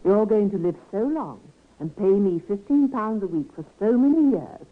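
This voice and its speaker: An actress playing a kindly, firm nurse in an old-time radio drama, with a motherly, gently bossy manner. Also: medium pitch, lively intonation, close to an everyday voice. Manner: brisk, fond, gently bossy. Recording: narrow-band vintage radio recording.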